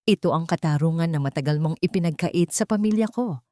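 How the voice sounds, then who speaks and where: A woman narrator voicing a wronged, cold and commanding woman avenger, speaking firmly and deliberately in story dialogue. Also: low-pitched, mellow, no room sound, studio quality, natural voice. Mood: cold, righteous. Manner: firm, deliberate, authoritative.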